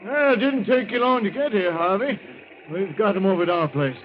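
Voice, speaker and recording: A man's voice: high-pitched, a little fast, natural voice. An American actor playing a folksy, worried, helpful country man in an old-time radio drama, plain-spoken. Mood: anxious, urgent. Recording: muffled, noisy vintage radio recording.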